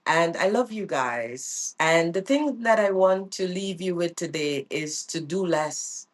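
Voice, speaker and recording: Slow, low-voiced woman vlogger. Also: lively intonation. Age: middle-aged. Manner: earnest, repeats phrases for emphasis. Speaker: vlogger and life coach. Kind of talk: reflective personal vlog.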